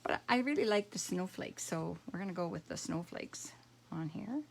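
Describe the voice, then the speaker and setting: Croaky middle-aged lady doing a relaxed card-making tutorial, kinda low and gravelly, thinking out loud. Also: slight background noise. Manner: relaxed, rambling, thinks aloud with pauses. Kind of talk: casual craft tutorial.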